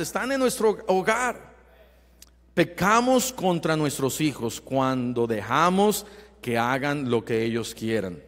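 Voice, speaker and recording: A male pastor preaching earnestly, with emphatic rising bursts and quiet asides. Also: high voice. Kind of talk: sermon. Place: church sanctuary. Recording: long hall reverb.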